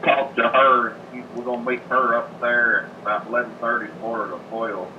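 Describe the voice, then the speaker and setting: A man's voice: medium pitch, level tone, normal speed. A man chatting casually and informally on a phone call played through a speaker. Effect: phone call through a car radio speaker. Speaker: phone caller. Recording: dull, noisy phone call over speaker.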